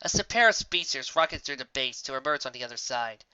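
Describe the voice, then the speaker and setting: A woman's voice: rumbling low, animated, fast, bright, forceful tone, clear, resonant tone, no echo. A woman reading a scripted story as narrator, expressively, on a slightly dull home recording.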